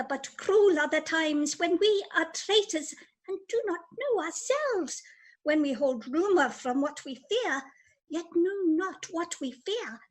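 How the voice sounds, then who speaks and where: A woman plays a cautious, consoling cousin in a Shakespearean radio drama. Her voice is strikingly high and earnest. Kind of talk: amateur Shakespearean radio play.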